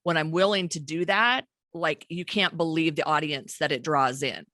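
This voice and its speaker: A woman in her forties hosting a casual podcast, candid and quick to laugh, swearing freely, recorded on a studio mic with a pop filter. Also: low voice, sharp tone, no room sound. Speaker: podcast host. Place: home studio with shelves and plants.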